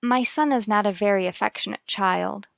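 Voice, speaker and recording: An actress performs a troubled, earnest mother from a vintage radio serial. Her woman's voice sits at a middle pitch in a very dry space. She confides carefully and hesitantly, choosing her words. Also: normal speed, gravelly, dry recording, close to an everyday voice. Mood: anxious, pained. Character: worried, earnest young mother confiding about her son. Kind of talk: vintage radio soap opera acting.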